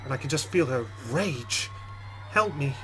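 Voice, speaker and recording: A man's voice: mid-range voice, expressive, unhurried, fairly bright tone, faint music behind. A man hosting a let's play, reading a visual novel's text aloud dramatically and adding his own commentary.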